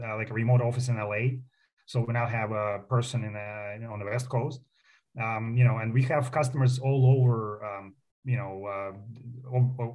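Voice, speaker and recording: A man's voice: on the low side, darkish tone. A middle-aged man from Russia with a Russian accent, a company founder chatting on a radio show, with many fillers and restarts. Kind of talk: casual radio interview. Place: radio studio.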